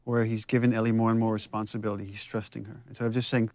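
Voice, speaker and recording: A man's voice: on the low side, little pitch movement, racing, darkish tone, no echo. A man giving casual, explanatory behind-the-scenes commentary on scenes as their director and writer.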